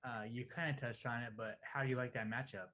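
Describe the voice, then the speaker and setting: A man asking casual interview questions as a sports interviewer, with loose, halting phrasing. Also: mid-range voice, steady tone, pushed and bright.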